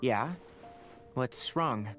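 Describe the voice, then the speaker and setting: Composed, precise young scholar. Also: male voice, mid-range voice, over music. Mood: matter-of-fact. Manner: measured, matter-of-fact. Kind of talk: video game character acting.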